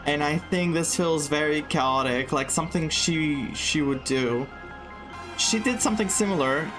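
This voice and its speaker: A man's voice: a little high, a little slow, low-quality recording. A man commenting casually on a game playthrough, English not his first language, rambling and searching for words. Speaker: gaming streamer. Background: game music.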